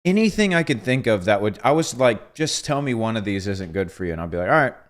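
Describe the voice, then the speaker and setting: A man in his twenties hosting a casual livestream chat, telling stories with earnest, animated quoted asides. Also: on the low side, fast. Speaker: host.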